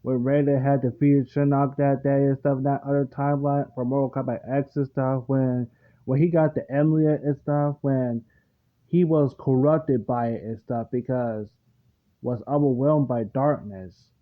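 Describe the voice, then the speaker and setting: A man reacting casually off the cuff, rambling and halting as he circles back to explain himself. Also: medium pitch, steady tone. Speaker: reaction video host. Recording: muffled lo-fi mic with clear background noise.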